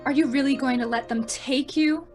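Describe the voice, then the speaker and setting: A woman's voice: high voice, fairly even intonation, moderate pace, soft background music, lightly acted. A woman playing a distressed young heroine in love, swooping melodramatically in an audio drama. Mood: anguished.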